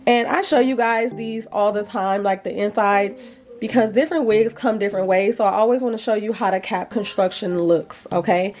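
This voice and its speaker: A woman vlogger chatting casually and playfully to viewers about beauty products, with a slightly raspy, froggy voice and an informal manner. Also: medium pitch, moderate pace, darkish tone. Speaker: vlogger reviewing wigs.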